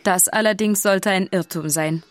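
A woman's voice: mid-range voice, normal speed, natural voice. A woman narrator telling a scripted story in a children's radio play. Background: music.

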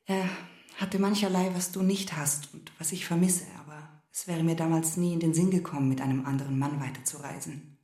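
A woman playing a proud, wounded wife in a German radio drama, reproachful and intense, sometimes dropping into hushed asides. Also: fairly low pitch, echoey room, natural voice. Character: wounded, proud wife confronting her husband and lover.

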